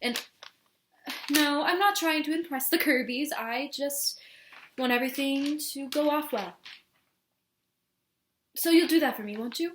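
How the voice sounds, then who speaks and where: A high-pitched, earnest young woman in love, played by an amateur actress, stumbles over her words in a flustered stage monologue. She is devoted and sincere. The sound is echoey and roomy, with a faint layer of noise. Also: a little slow, fairly bright tone. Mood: anxious, affectionate. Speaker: amateur actor performing a monologue.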